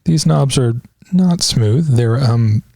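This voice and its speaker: A man's voice: mid-range voice, slow pace, vocal fry, warm tone, dry recording, clean studio sound. A man doing a casual, wry walkthrough of an audio product, with sarcastic asides. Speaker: product reviewer.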